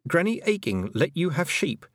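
Man playing an earnest, insistent young girl, pitched up. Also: sing-song, normal speed, no room sound, studio quality. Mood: demanding. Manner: hesitant, questioning, urgent.

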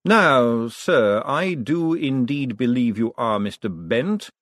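Man acting a measured, commanding male ruler. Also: medium pitch, expressive, a bit bright, no room sound. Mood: cool, courteous. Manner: measured, deliberate, authoritative. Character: commanding, dryly witty male ruler, coolly in control.

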